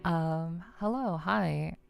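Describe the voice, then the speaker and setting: Low, creaky-voiced woman streamer chatting. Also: drawn-out words, soft background music. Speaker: livestream host. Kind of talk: casual chat with livestream viewers.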